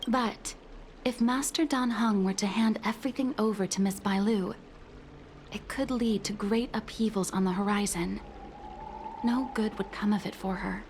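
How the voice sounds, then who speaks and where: A woman playing an earnest, dutiful maid in a video game, speaking formally and deferentially in measured phrases. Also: mid-range voice, darkish tone, a little hiss or hum, close to an everyday voice. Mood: concerned, restrained. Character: earnest, dutiful maid pleading for her young mistress. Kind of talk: dramatic video game voice acting. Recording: music behind the voice.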